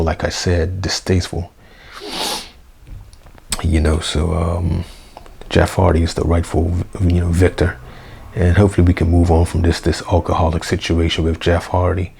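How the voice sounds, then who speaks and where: A young man, hoarse, rambling through a casual unedited review vlog with lots of fillers and slang. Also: low voice, mellow. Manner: rambling, full of fillers like "you know" and "man". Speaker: wrestling review vlogger. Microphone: basic mic, plans a new one.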